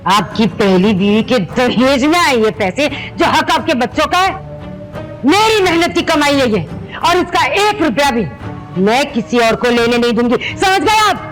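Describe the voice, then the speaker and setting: A very high-pitched, swooping middle-aged stepmother, sarcastic and bullying. She scolds with greedy, theatrical scorn in a serial melodrama, with music playing underneath. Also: expressive, normal speed, low-quality recording. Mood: indignant, scornful. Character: sharp-tongued, money-grubbing, bullying middle-aged stepmother. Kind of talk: Hindi audio serial melodrama acting.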